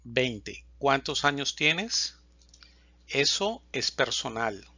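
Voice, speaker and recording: A man teaching a Spanish lesson, reading example questions and answers slowly and distinctly. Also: mid-range voice, very animated, sharp tone, no room sound, highs cut off. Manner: reads questions and answers slowly and distinctly, pausing between lines. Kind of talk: slow, clear language lesson reading example dialogues.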